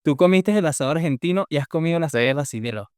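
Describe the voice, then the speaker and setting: A young man from Venezuela with a Venezuelan accent, hosting a podcast and asking curious, lively questions. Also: a little high, racing, clean tone, no echo, clean studio sound. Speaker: podcast host. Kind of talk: casual interview.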